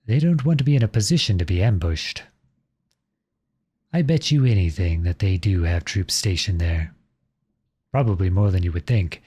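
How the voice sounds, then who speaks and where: A man playing an outlawed young prince serving as a lowly soldier in a roleplay audio drama, speaking in a formal, measured, courteous and earnest way. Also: lively intonation, a little mellow, breathy edge. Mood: serious, strategic.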